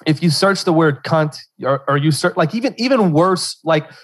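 A man's voice: fairly high pitch, lively intonation, moderate pace. A young man from Louisiana, a podcast guest who talks in loose rambling bursts full of restarts and fillers. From South Central Louisiana. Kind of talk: casual interview chat.

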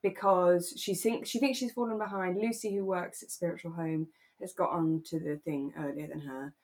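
A woman co-hosting a podcast, talking casually and giving her opinions gently, with hesitant restarts. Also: fairly low pitch, steady tone, moderate pace. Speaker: podcast co-host. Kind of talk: casual chat reviewing a radio drama.

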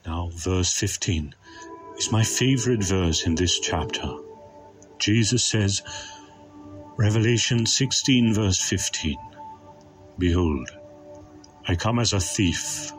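A man preaches in a low register over a music bed. His delivery is solemn and deliberate, leaning hard on key phrases as he moves through scripture like a dramatic narrator.

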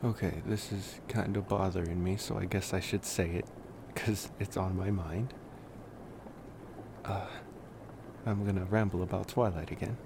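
A man playing a laid-back, wry college-age vampire in an intimate ASMR roleplay, rambling casually and hesitantly with sighs and pauses. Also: low voice, steady tone, creaky, noisy background. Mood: baffled, amused.

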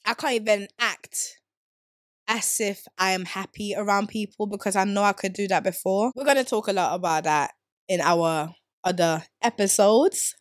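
Lively, playful young woman podcaster. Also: medium pitch, no room sound, clean studio sound. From Sweden. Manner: animated, playful, slangy, quick to laugh. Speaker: podcast host. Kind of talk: casual solo podcast chat.

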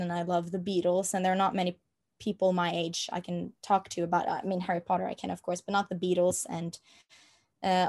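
Young norwegian woman, low flat voice, lots of ums and false starts. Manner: hesitant, many fillers and restarts. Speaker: interviewee. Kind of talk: casual interview talk. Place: room at home.

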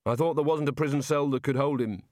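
A man's voice: medium pitch, fast, darkish tone, no room sound, studio quality, voice pushed up, natural voice. A man voicing a hapless, condemned wizard with a gloomy, sarcastic and hesitant manner, in a storybook reading.

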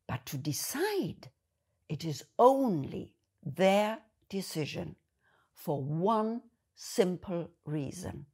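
A woman with a German accent, a native German speaker, giving a slow, deliberate explanatory talk. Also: on the low side, vocal fry, darkish tone, breathy edge. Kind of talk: measured spoken commentary.

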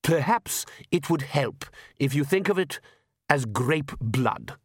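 A man voicing a cheerful, patronising aristocratic vampire father for a storybook, with smooth, deliberate, drawn-out delivery. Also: medium pitch, animated, no room sound, studio quality, pitched above the performer's own voice. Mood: calm, coaxing.

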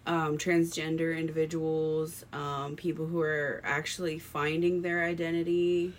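A woman with a naturally low voice co-hosting a casual podcast, speaking candidly about herself. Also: flat delivery, a little slow, a little hiss or hum. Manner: candid, personal. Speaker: podcast co-host. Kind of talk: casual discussion.

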